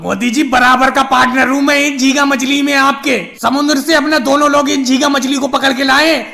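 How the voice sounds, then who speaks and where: A man puts on a very high, sharp, creaky cartoon voice as a stubborn male politician turned fishmonger. He argues his way through an animated comedy skit. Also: moderate pace, heavily acted. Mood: defiant, stubborn.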